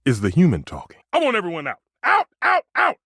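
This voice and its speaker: Wildly swooping, hot-tempered chef. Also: male voice, sits high, huge pitch swings, a little fast, bright, forceful tone, breathy edge, dry recording, clean studio sound, full character voice. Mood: indignant. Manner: theatrical, volatile, emphatic. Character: temperamental, passionate male chef, a living kitchen stove. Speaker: head chef. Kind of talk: video game character acting.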